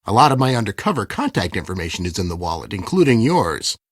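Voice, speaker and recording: An actor playing a smug, impatient schemer who poses as a police detective in an adventure game, with a condescending, theatrical delivery. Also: male voice, on the low side, sing-song, fast talker, breathy edge, no room sound, studio quality, full character voice. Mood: businesslike, stern. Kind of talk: scripted game character dialogue.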